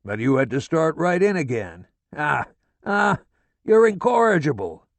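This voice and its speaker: A man voicing a furious, foul-mouthed middle-aged father who rants and shouts curses at his family. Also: on the high side, sing-song, a little slow, no echo, voice pushed up. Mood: furious tirade.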